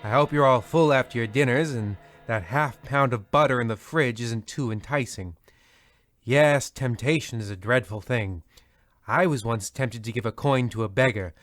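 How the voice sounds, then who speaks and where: An actor playing a sinister, droll host who formally introduces an old-time radio horror comedy with mock-ominous relish. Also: male voice, medium pitch, expressive, normal speed, dry recording, clean studio sound, soft background music, lightly acted.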